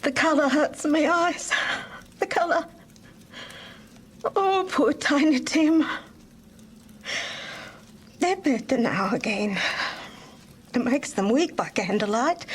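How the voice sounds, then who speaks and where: An actress playing a gentle, grieving mother, tender and hesitant, in an audiobook drama. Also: high-pitched, slow, pauses often. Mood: tender sorrow.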